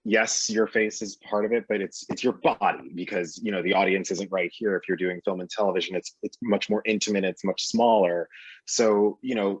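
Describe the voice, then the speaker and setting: A man with a husky voice, an actor explaining his craft on a discussion panel. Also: fairly low pitch. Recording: roomy, slightly muffled call audio.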